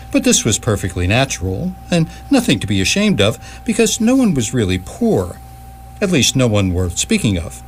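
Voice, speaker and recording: Animated male narrator, grand storytelling. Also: medium pitch. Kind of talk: scripted comic storytelling narration for radio theatre. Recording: stage performance with faint hum.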